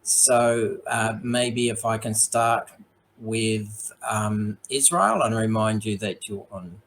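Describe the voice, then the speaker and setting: A man serving as mayor, hosting a formal online citizenship ceremony in a measured, polite way with frequent ums, speaking over a video call. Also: on the low side, a bit bright, slight background noise, low-quality recording.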